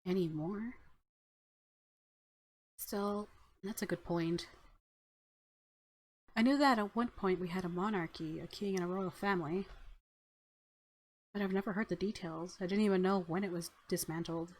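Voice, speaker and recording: A woman's voice: lowish voice, moderate pace, long pauses, rough recording. Playful woman narrating a game. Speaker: let's play host reading game text. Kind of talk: narration with casual commentary.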